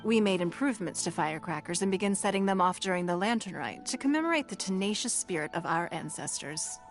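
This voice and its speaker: An actress playing a gracious, dignified noblewoman leader in a video game, speaking in a formal, measured manner. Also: fairly low pitch, lively intonation. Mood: explanatory. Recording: game music behind the voice.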